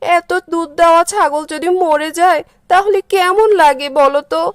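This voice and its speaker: A woman plays a foolish village wife from a cartoon. Her voice is high and whiny, and she is given to melodramatic wailing and scolding. The sound is close and dry. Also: normal speed, no room sound. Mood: tearful lamenting. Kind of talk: cartoon character dialogue.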